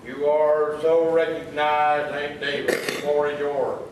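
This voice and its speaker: Echoey hall, actor as male lodge leader, slow, ceremonious, pompous, slightly high voice, comic radio theatre. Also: little pitch movement, lightly acted. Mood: solemn, pompous. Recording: echoey hall, distant mic, faint noise.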